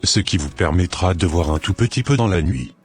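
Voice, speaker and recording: Hospital doctor for a cartoon male actor, polite and formal, low pitch, heavily rasping tone. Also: animated, fairly quick, soft background music. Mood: grave, apologetic. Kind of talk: amateur cartoon dialogue.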